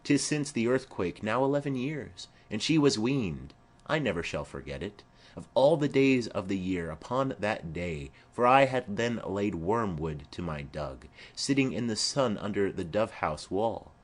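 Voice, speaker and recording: A man's voice: fairly low pitch, animated, measured pace. A man voicing a garrulous, fond old female nurse in a Shakespeare play, rambling and exclamatory. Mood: rambling, reminiscing. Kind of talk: amateur-style Shakespeare play reading.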